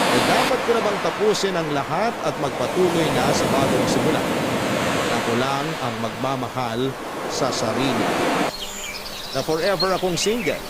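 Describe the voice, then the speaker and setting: A man's voice: medium pitch, very noisy, natural voice. A Filipino man voicing a heartbroken young woman telling her love story in a confiding, emotive radio drama narration, drawing out the ends of phrases. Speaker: story narrator reading a letter sender's account. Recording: loud music under the voice. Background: loud background music.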